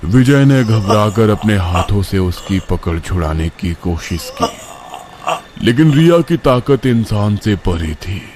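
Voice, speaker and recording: Slow, low-voiced male horror narrator. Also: animated, darkish tone, slight background noise. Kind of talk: scripted horror story narration.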